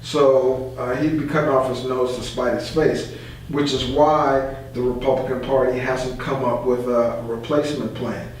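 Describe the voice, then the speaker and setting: A man explaining things in conversation as a guest, halting and deliberate as he works through his points. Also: mid-range voice, level tone, darkish tone. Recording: distant, very echoey room, faint noise.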